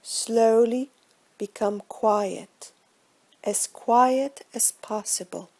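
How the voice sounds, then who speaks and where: Slow, breathy woman guiding meditation. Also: fairly high pitch. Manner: slow, measured, hushed delivery with soft breathy phrase endings. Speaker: yoga nidra meditation guide. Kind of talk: guided relaxation meditation, scripted instruction.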